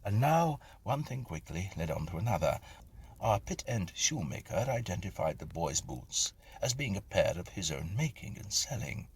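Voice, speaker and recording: A man with a gravelly voice reads a Victorian ghost story as a measured, solemn narrator. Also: low voice, very animated, slight background noise. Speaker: reader and narrator of ghost stories.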